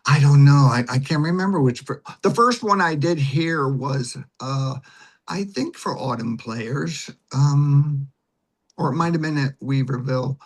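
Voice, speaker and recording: A man in his late sixties talking as an interview guest, explaining his craft in an emphatic, deliberate way. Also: a little high. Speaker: stage actor and director, guest. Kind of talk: conversational interview talk.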